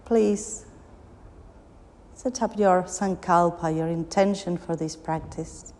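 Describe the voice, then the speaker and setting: A woman with a slightly low voice leads a guided meditation very slowly. She gives soothing instructions in short phrases, leaving long pauses between them. The recording has a long, hall-like reverb. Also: darkish tone, slight background noise. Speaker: yoga nidra meditation guide.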